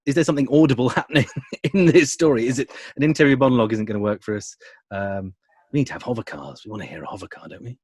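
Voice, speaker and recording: A man's voice: mid-range voice, rapid-fire, a little mellow, slightly rough, dry recording. British man explaining in a dry studio sound. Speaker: radio drama adapter and producer being interviewed. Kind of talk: conversational interview answers.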